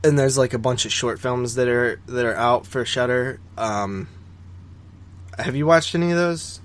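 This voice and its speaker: Man, mid-pitched voice, podcast co-host, casual film discussion, rambling, opinionated, repeats words for emphasis. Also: normal speed. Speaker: podcast co-host reviewing horror films.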